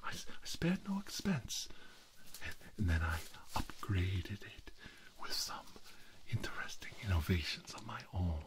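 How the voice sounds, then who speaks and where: A man performs a brooding, self-pitying male supervillain scientist for an ASMR roleplay. He mutters in a hushed, breathy whisper at a very unhurried pace. His phrases halt and repeat, as if he is arguing with his own unhinged mind. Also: sits low, wildly swooping pitch, heavily acted. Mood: musing, fussy. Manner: halting, repetitive muttering to himself.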